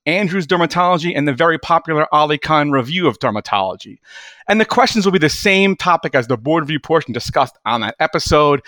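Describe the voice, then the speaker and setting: An eager young man hosts a podcast in a lively, chatty way. His voice sits a little high. The sound is dry and close, with no room around it. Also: dry recording. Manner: enthusiastic, animated, chatty. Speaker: podcast host. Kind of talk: upbeat podcast hosting.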